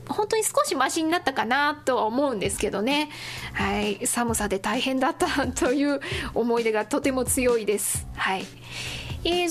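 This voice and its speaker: A woman hosting a solo radio show in a casual, chatty style, giving announcements to listeners. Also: sits high. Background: music.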